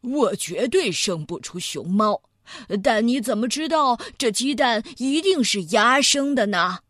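A woman voicing a calm, methodical male bear scientist who explains things in an unhurried, deliberate way. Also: fairly high pitch, no echo, clean studio sound. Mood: calm, assured.

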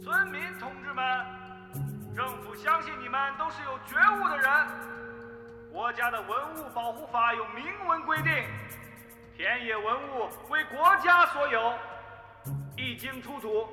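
Loudspeaker announcer, radio drama, stern official woman, piercing bright voice, big echo, music. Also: high voice, a little slow, exaggerated voice. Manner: declamatory, official. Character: stern village public-announcement broadcaster.

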